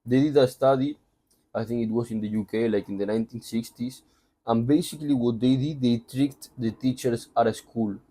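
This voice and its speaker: A man's voice: medium pitch, steady tone, moderate pace, dark tone, low-quality recording. A man with a Spanish accent summarizing a book in a loose, conversational way, full of asides. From Spain. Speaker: book reviewer. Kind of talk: casual book summary talk.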